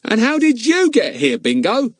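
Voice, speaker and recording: A man reading an audiobook, voicing a good-natured but fussy boy who exclaims in a high, flustered voice. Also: wildly swooping pitch, moderate pace, dry recording, clean studio sound, full character voice. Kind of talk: audiobook character voice.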